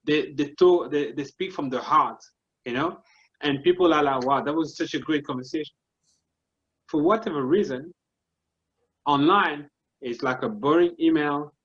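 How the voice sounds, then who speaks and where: A man's voice: fairly high pitch, normal speed, pauses often, a bit bright, highs cut off. A man with a Congolese French accent, a marketing consultant guest explaining his ideas earnestly step by step, often restarting his sentences. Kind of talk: casual explanatory interview talk.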